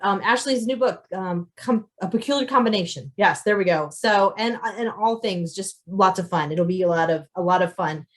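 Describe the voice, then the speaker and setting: A woman's voice: medium pitch, moderate pace, few pauses. A woman chatting casually and effusively to viewers as an author hosting an unscripted question-and-answer livestream. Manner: effusive, often chuckles mid-sentence.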